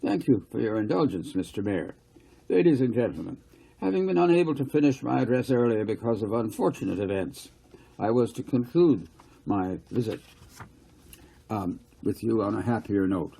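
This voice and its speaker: A man's voice: lowish voice, lively intonation, normal speed, vocal fry, dark tone, breathy edge, full character voice, rough recording. A man voicing a formal, wordy government minister giving an awkward, placating speech in a storybook reading. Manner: stiff, wordy, placating officialese.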